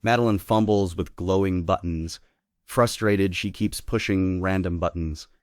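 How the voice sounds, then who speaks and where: Low-voiced man reading stage directions. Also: unhurried, no room sound, clean studio sound. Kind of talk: scripted narration at a table read.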